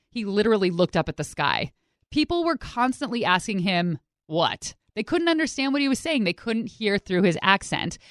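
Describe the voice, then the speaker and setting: A woman's voice: medium pitch, dry recording. A woman hosting and narrating a food show, moving between scripted storytelling and informal, conversational interview talk in a studio.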